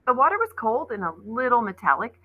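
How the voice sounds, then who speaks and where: A woman reading a children's novel aloud as an expressive storyteller, like a teacher reading to a class. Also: fairly high pitch, fairly quick, husky, narrow, tinny audio.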